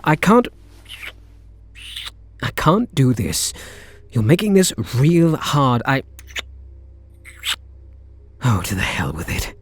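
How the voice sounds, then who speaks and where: A man's voice: medium pitch, slightly rough, no room sound, full character voice. A man with a British accent playing a smitten kidnapper, a sweet-talking gangster, in an intimate ASMR roleplay. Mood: torn, pleading. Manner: slow, hesitant sweet talk with pet names.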